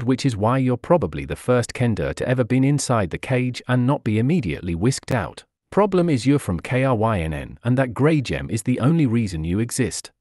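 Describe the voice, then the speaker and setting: Doddering old male wizard, wheezy and scolding, lively pitch, dry sound; a man reads him. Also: no room sound. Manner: rambling, forgetful, scolding.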